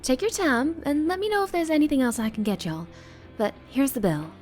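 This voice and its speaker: A sweet Southern diner waitress, played by a woman, high-pitched and very lively in intonation. She chirps friendly, eager service patter in an audio roleplay, with music behind her. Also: fairly quick. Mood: helpful, cheery. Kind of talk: roleplay ASMR audio drama.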